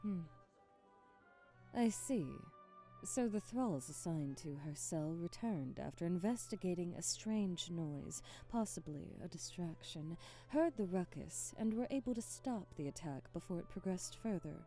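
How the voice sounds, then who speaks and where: An imperious vampire aristocrat, played by a woman, speaks in a lowish, mellow voice at an unhurried pace. She is formal and theatrical, every bit the elegant, commanding mistress of an audio roleplay, with faint music behind her. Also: lively intonation. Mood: controlled, commanding. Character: haughty aristocratic female vampire hostess, elegant, commanding, coldly protective. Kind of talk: scripted ASMR roleplay audio drama.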